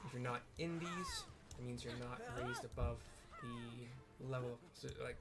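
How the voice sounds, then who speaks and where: A man's voice: medium pitch, fairly even intonation, a little slow, over loud music. A man giving casual, rambling gameplay commentary, explaining and describing what happens as he plays. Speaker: video game streamer and narrator.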